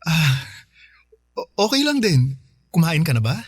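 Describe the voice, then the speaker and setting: A man's voice: fairly high pitch, big pitch swings, a little slow, husky, no room sound, full character voice. A shy, smitten young man talks in a close, dry studio sound. He is insecure and hesitant, stumbling over his words as in a radio love story. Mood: nervous, bashful. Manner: hesitant, stammering, bashful. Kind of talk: radio drama dialogue.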